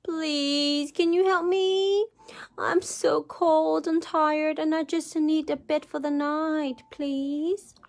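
A woman's voice: sits high, measured pace, voice pushed up. A woman voicing a polite, weary storybook princess who speaks in a pleading, plaintive way. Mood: begging. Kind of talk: children's storybook character voice.

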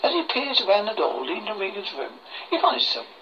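A horror host reading a scripted story aloud in a halting way, stumbling over words, on a muffled lo-fi recording. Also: medium pitch, big pitch swings. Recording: muffled lo-fi recording with faint noise.

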